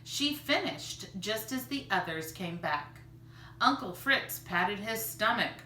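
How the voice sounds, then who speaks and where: A bright-voiced woman reads a picture book aloud with expressive delivery. She sounds a little distant from the microphone, in an echoey room. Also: mid-range voice, slow. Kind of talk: expressive children's read-aloud. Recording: roomy, distant mic, faint noise.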